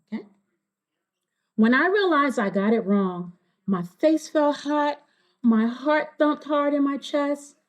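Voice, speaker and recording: A woman reading a children's storybook aloud, pausing to explain things and check in with young listeners. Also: on the high side, a little mellow.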